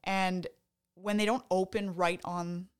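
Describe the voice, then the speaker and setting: A woman's voice: lowish voice, little pitch movement, takes it slowly, clear, resonant tone. A young woman from Canada, co-hosting a podcast, telling a concert story in a chatty way with many fillers and restarts. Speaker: podcast co-host. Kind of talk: casual chat.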